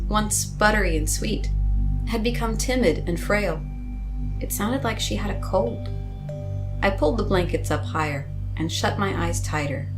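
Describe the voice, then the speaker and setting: A woman reading a first-person story as a scripted, dramatic narration. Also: mid-range voice.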